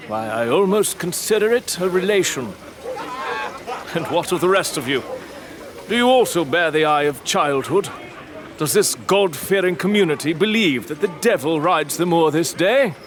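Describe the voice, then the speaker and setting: An actor playing a proud, headstrong lord in a period audio drama, speaking in a commanding, formal, theatrical manner. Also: male voice, fairly high pitch, lively intonation, moderate pace, crowd noise, close to an everyday voice. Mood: rousing, defiant. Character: proud, headstrong English lord leading a stag hunt.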